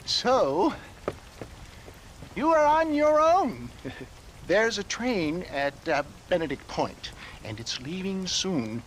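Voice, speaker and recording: Old theatrical con man, chuckling, flowery, slow, lively pitch. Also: slight background noise, heavily acted. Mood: jovial. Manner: chuckles often, flowery speech, drawn-out pauses. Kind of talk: video game cutscene acting.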